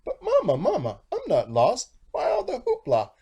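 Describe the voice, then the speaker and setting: A man's voice: very high-pitched, huge pitch swings, normal speed, vocal fry, dark tone, slightly rough, dull audio, voice pushed up, full character voice. A man voicing a tiny, curious, pouty boy chick in a storybook, plaintive and exclaiming. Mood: protesting.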